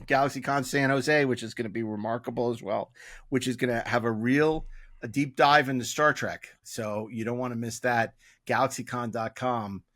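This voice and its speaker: A man's voice: medium pitch, steady tone, moderate pace, fairly bright tone, dry recording, studio quality. A man hosting a podcast, chatting casually and jokily in a rambling way as he promotes upcoming events. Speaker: podcast host.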